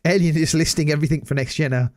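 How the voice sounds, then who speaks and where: Hoarse man hosting a rambling podcast. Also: fairly high pitch, fairly even intonation, rapid-fire. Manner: rambling, hesitant, many restarts and fillers. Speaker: podcast host. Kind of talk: casual solo livestream podcast.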